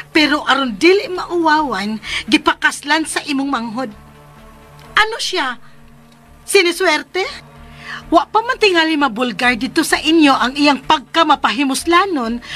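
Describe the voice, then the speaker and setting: A woman's voice: high voice, a bit bright. A woman playing a jealous, scheming and demanding live-in girlfriend in a radio drama, confrontational and pleading by turns. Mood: bitter, scornful. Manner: confrontational, insistent, emotionally pleading. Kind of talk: Philippine radio drama acting.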